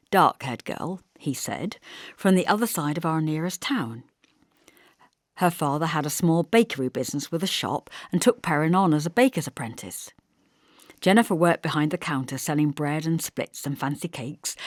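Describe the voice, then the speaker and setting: A woman reading a literary first-person story as an audiobook narrator, measured and expressive. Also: deep voice, dry recording, studio quality.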